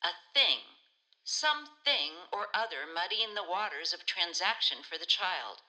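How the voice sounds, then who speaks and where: A bright-voiced, devout counselor, played by a woman in a community radio play. She is warm and playful, with a crisp, forward tone. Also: fairly low pitch, lively intonation, unhurried, exaggerated voice, low-quality recording.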